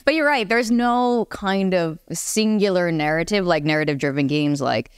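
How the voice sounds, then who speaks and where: A woman's voice: on the low side, moderate pace, clear, resonant tone, dry recording, studio quality. A woman talking informally and emphatically as a panellist in a casual podcast discussion.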